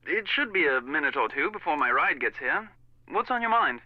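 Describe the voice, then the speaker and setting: A man's voice: on the high side, very animated, normal speed, dry recording. An actor playing a fussy, indignant Englishman in a video game, speaking formally with a British accent through a telephone filter. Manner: formal, pompous, clipped disapproval. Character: fussy, indignant English wedding guest on the phone. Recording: muffled narrow phone line sound.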